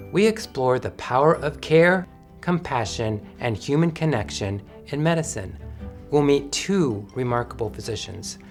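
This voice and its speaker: A man hosts a podcast in a smooth, announcer-like way, moving from a polished scripted opening to friendly questions. His pitch sits in a middle range, and soft guitar music plays quietly beneath him. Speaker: podcast host. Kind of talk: scripted introduction and interview.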